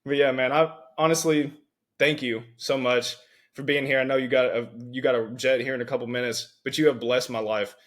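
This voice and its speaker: A man's voice: mid-range voice, fairly even intonation, fairly quick. A man hosting a podcast, thanking his guest in a casual, grateful way. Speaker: podcast host. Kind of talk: casual chat.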